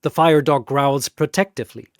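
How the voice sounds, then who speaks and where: A man's voice: mid-range voice, moderate pace, no room sound, clean studio sound. A man narrating a scripted fantasy battle story in a dramatic storytelling style.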